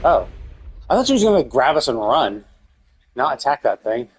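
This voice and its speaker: A man's voice: mid-range voice, very animated, moderate pace, low-quality recording. A man livestreaming casual gameplay commentary, with rambling, sweary reactions. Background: game music.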